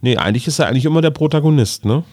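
A man talks casually as a podcast host, like a fan among friends. His voice sits at a middle pitch. The sound is dry, with hardly any room. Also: rapid-fire, a little mellow, no echo. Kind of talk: casual fan chat about fantasy audio dramas.

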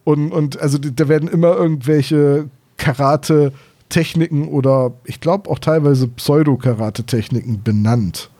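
A man's voice: fairly high pitch, moderate pace, warm tone. A man chatting casually as co-host of a podcast about books and audio plays.

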